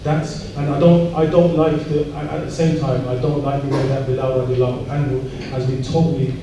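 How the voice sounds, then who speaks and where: A man speaking earnestly and reflectively on a panel, pausing and repeating words as he makes his points. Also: mid-range voice, little pitch movement. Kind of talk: panel discussion remarks. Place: large echoey indoor event room. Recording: very echoey room, distant mic, noisy.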